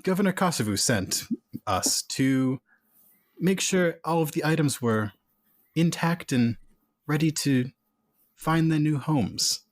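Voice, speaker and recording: A man voicing a composed female art conservator in a tabletop game, speaking in a measured, polite, formal way. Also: fairly high pitch, expressive, no room sound, pitched above the performer's own voice. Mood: careful bluff.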